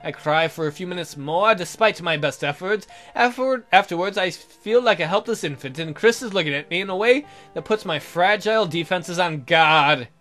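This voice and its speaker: A man's voice: high-pitched, lively intonation, normal speed, hardly any pauses, sharp tone, faint music behind. A man voicing a lovesick, miserable young woman who narrates her romance melodramatically in a visual novel. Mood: frustrated, humiliated. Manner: melodramatic, pining.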